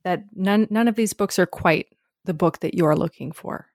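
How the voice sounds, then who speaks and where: A woman interviewing an author, asking measured, carefully phrased questions. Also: on the low side, darkish tone, no echo, clean studio sound.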